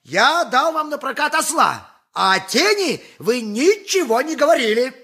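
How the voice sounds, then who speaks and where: An actor playing an indignant, pious, grumbling donkey driver in a radio play, with emphatic, plaintive peasant bluster. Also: male voice, extremely high pitch, sing-song, unhurried, sharp tone, full character voice. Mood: indignant, haggling. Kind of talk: theatrical 1980s radio play acting.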